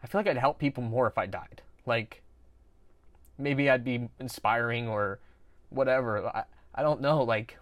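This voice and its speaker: A man hosting a podcast, talking candidly and quietly, with frequent stuttered repetitions. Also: medium pitch, moderate pace, dry recording. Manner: stutters with sound repetitions, soft and confessional. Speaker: podcast host.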